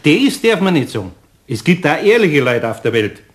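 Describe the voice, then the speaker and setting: Animated, fatherly Bavarian craftsman. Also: a little high, moderate pace. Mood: kindly, consoling. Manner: fatherly, admonishing, homely. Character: kindly, patient older master craftsman, gently scolding. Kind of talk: German radio play for children.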